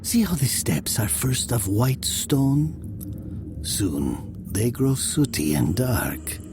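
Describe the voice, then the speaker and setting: A man narrating a first-person horror story dramatically, in a hushed, deliberate, often whispery manner. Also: on the low side, noisy background.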